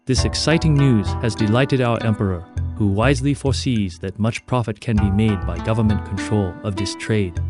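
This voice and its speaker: A man's voice: on the low side, normal speed, hardly any pauses. A man reading a formal, stately mission briefing for a strategy game, with music playing behind him. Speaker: game narrator. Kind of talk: scripted mission briefing narration.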